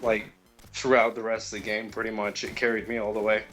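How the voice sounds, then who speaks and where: A man on an internet call, casually explaining his views on video games in a low-key, hesitant way. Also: level tone, brisk, a bit bright, soft background music. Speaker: caller to a gaming stream.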